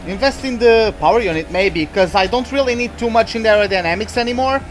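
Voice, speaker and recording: A man's voice: high-pitched, fast talker, soft background music. A young man whose first language is Portuguese, rambling casually in English while playing a racing game, with many fillers and restarts. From Portuguese-speaking country. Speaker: gaming YouTuber. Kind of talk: casual let's-play commentary.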